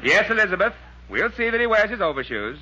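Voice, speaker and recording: High-pitched, fretful American radio dad. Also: male voice, big pitch swings, fast. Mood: flustered. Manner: grumbling, exasperated. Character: fretful, irritable middle-aged husband and father. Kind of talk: 1940s radio sitcom acting. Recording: muffled, hissy old radio recording.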